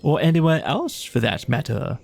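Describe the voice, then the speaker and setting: A man voicing a boisterous, boastful, excitable gentleman toad in a children's audiobook, with pompous, exuberant exclamations. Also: a little high, moderate pace, gravelly, full character voice.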